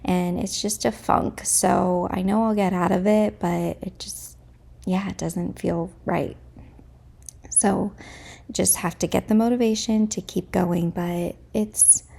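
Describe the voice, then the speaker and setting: Slightly raspy, gravelly-voiced woman vlogger. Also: medium pitch, steady tone, slow pace, mellow. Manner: candid and chatty, thinking aloud. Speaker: home vlogger and mother. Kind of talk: casual chat to camera.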